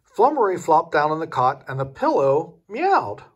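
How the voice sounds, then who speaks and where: Lively, husky older man reading aloud. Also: a little high, normal speed, highs cut off. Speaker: grandfather reading a storybook. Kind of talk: expressive children's storybook read-aloud.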